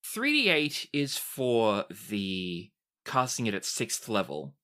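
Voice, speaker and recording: A game master with a very low voice and a slow pace. The pitch moves a lot while giving vivid, dramatic scene narration, then drops into casual rulings for the players. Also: female voice, sing-song, fairly bright tone. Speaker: game master of a tabletop RPG podcast.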